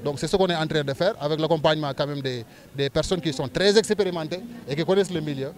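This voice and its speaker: A man's voice: fairly high pitch, fast talker. A man from Senegal with a Senegalese accent, a local politician giving earnest, emphatic interview answers and repeating phrases for stress. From Diourbel, Senegal. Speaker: local politician and civil servant.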